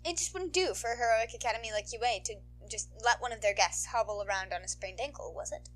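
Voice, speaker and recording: A woman reading fan fiction aloud as an audiobook narrator, in an expressive scripted storytelling style. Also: on the high side, fast.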